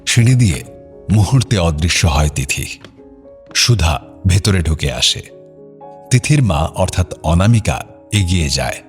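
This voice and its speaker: Male narrator for audio fiction: deep voice, dramatic scripted delivery, light music underneath. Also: very animated, unhurried, pauses often.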